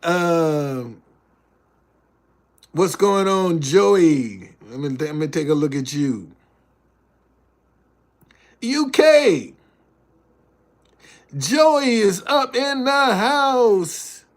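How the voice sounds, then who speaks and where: Older man, 70s, folksy livestream host, unhurried and gravelly. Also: high-pitched, very animated. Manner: folksy, preacherly, reads chat messages aloud. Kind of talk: casual livestream talk and preaching.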